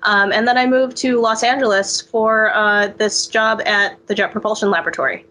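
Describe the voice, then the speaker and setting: An American woman engineer talking in an interview in a relaxed way, with many ums. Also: medium pitch, moderate pace. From United States.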